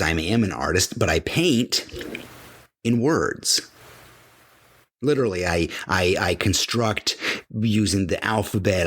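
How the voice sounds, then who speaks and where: A man's voice: deep voice, slightly rough. A middle-aged man hosting a podcast, giving an emphatic motivational talk that repeats phrases for weight and acts out the voices of imagined people. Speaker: podcast host. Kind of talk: motivational monologue.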